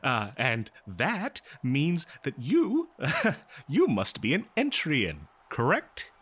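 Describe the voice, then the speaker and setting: A man's voice: mid-range voice, huge pitch swings, a bit bright, breathy edge, full character voice. Man acting an eager, lilting, nervous professor. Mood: excited, fascinated. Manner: effusive, halting, polite, with nervous chuckles. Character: eager, nervous, courteous male human professor of ancient civilizations. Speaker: voice actor in an audio roleplay. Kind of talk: fantasy audio roleplay acting, addressing the listener.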